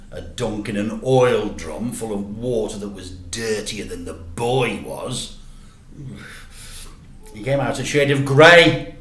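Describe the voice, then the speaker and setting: Expressive man reading to children. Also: mid-range voice, slight background noise. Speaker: storyteller, teacher. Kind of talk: children's story narration. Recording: roomy, echoey sound.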